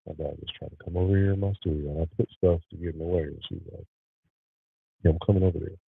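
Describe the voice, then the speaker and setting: A deep-voiced man with a gravelly, husky voice chats easily with his live viewers. He sounds tired and stuffed up with a cold. The sound is dry and narrow, like a phone line. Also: quick pace, no echo. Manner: relaxed, talks to live viewers. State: nasally congested. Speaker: podcast host. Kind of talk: casual livestream chat. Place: home studio.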